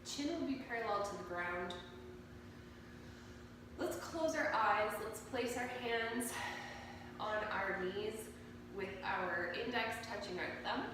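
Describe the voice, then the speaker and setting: A woman with a cold and a slightly nasal voice, guiding a yoga class with calm, slow cues for poses and breathing. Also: mid-range voice. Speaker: yoga instructor. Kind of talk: guided yoga class instruction. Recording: echoey room, mic at a distance, audible noise.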